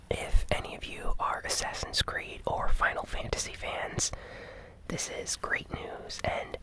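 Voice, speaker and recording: A host presenting an ASMR gaming news recap in a hushed, breathy whisper, explaining things informally and without hurry. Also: poor audio.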